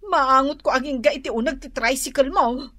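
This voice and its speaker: A woman's voice: high voice, bright, forceful tone, dry recording. A woman acting a fussy, boastful, complaining younger housewife in an Ilocano radio drama comedy, with whiny exclamations. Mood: disgusted, complaining. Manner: whiny, exclaiming, drawn-out emphatic delivery.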